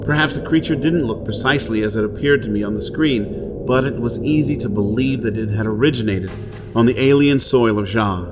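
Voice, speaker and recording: A man's voice: medium pitch, fairly quick, lo-fi sound, very noisy, close to an everyday voice. An actor playing a desperate outlaw hero on Mars, telling his ordeal in intense, theatrical first-person narration. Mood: dread, awe. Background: dramatic music and soundscape.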